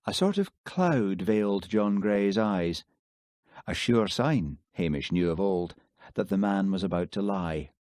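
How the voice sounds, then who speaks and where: A man narrating a novel in a calm, measured way, his voice on the low side. The recording is close and dry, with no room echo. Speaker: audiobook narrator. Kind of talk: scripted narration.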